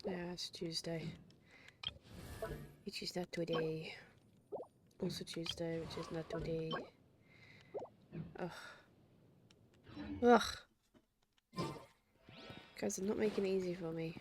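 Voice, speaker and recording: A woman's voice: lowish voice, steady tone, slow pace, pauses often, noisy background. A woman livestreaming a game, chatting casually and talking to herself as she plays. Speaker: game streamer. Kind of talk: casual livestream gameplay commentary. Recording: game music behind the voice. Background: game music.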